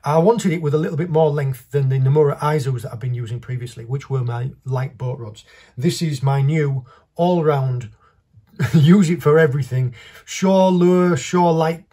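Middle-aged guy chatting through a gear review, bit husky, off the cuff. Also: on the high side, moderate pace, warm tone. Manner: informal, off-the-cuff. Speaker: fishing vlogger reviewing tackle. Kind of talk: casual product review to camera. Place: small indoor workshop with stone wall.

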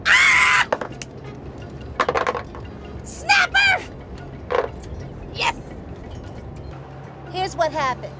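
Casting: middle-aged woman, reality show host, very high bright voice, jokey and loud, recorded out on a boat over loud music. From New Jersey. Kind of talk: reality show chatter to camera. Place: fishing boat on the ocean, outdoors. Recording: music playing loudly under the voice.

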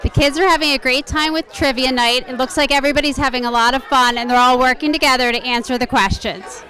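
A woman's voice: sits high, steady tone, quick pace, sharp tone, a faint crowd. A woman speaking cheerfully into a handheld mic in a school library about trivia night. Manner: upbeat, cheerful.

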